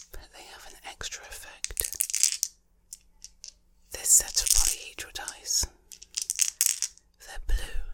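A woman whispering in a breathy, deliberate way, speaking intimately to the listener as an ASMR host, in short phrases with pauses. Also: bright, forceful tone.

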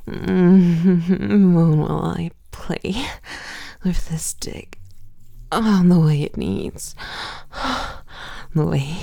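A woman whispering softly and teasingly in a possessive, intimate audio roleplay, laughing often, close to the microphone. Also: medium pitch, vocal fry, no echo.

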